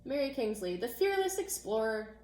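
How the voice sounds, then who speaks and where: A woman puts on an encouraging primary school teacher from a picture book. The voice is a little high, cheerfully announcing and gently instructing, with room echo around it. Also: very animated, moderate pace. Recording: echoey room.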